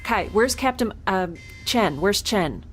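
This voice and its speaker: A woman's voice: mid-range voice, lively intonation, slow, soft background music. Woman acting a plucky, informal young space castaway. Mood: concerned, brisk. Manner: casual, conversational, hesitant asides. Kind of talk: naturalistic audio drama acting.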